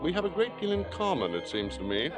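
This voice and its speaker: A man's voice: fairly high pitch, very animated, fast talker. A man acting an urbane, cynical theater man with an arch manner in a vintage radio drama. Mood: sardonic. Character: suave, cynical, possessive older man of the theater. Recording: old radio broadcast with music behind.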